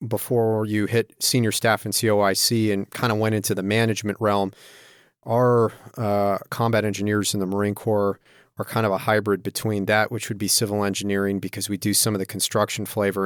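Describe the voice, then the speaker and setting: A man's voice: fairly low pitch, clean tone, no room sound, studio quality. A man hosting a podcast, talking in a friendly, chatty way as he introduces the show and his guest. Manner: friendly, talkative, reminiscing. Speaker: podcast host.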